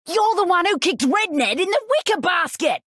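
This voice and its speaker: An actress playing an excitable, brash female video game character. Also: extremely high pitch, normal speed, dry recording, clean studio sound. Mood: excited.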